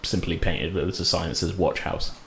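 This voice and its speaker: A man improvising narration as a tabletop game master in an informal, halting way. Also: deep voice, creaky, pushed and bright. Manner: halting, informal, hedging descriptions. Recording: roomy, light background noise.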